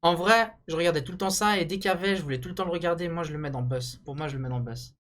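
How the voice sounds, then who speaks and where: A man's voice: fairly high pitch, very fast. A young man chatting casually and jokingly in slangy language, like a YouTube host telling stories to a friend. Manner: slangy, joking, animated storytelling. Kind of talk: casual banter, ranking cartoons. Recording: music behind the voice.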